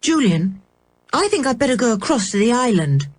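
Lady doing a worried young girl from a kids story, high and breathy, plaintive, swoops about a lot. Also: sing-song, moderate pace. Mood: stubborn worry. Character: anxious tomboy girl fretting over her missing dog. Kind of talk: children's audiobook character voice.

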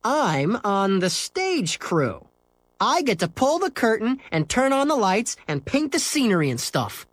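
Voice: mid-range voice, big pitch swings, a little slow, no room sound, voice pushed up. A storybook narrator voicing a lofty, teasing schoolboy with a mocking manner. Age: child. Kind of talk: children's storybook character voice.

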